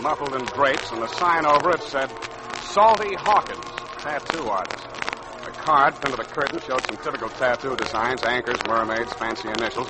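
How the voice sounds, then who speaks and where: An actor playing a cynical, tough private detective with clipped, wisecracking delivery in a 1940s radio drama. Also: male voice, mid-range voice, close to an everyday voice. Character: hard-boiled cynical male private detective narrating his case. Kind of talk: 1940s radio detective acting, first-person narration. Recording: noisy old-time radio recording.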